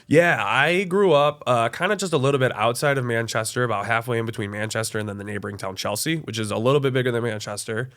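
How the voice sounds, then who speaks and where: A young man from Michigan chats easily as an interview guest. His voice sits at a middling pitch, and his speech is relaxed and friendly, full of "uh"s and small restarts as he explains things. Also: fast, hardly any pauses. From Michigan, USA. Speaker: college access adviser, guest. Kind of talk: casual interview conversation.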